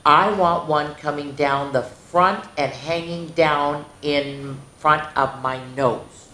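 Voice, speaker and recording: A woman's voice: sits low, slow, bright, forceful tone, exaggerated voice. A woman voicing a stubborn, defiant schoolgirl in a storybook, with blunt, emphatic refusals. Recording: roomy, echoing indoor sound.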